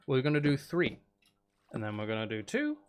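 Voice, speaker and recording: A man's voice: medium pitch, huge pitch swings, moderate pace, slight background noise. A man giving casual gameplay commentary, muttering to himself in a playful, self-deprecating, sweary way. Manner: mutters and counts aloud to himself. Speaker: streamer.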